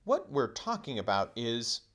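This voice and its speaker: A man's voice: mid-range voice, wildly swooping pitch, unhurried, a bit bright. A man voicing a headstrong, curious and argumentative young boy from a children's storybook, insistent and exasperated.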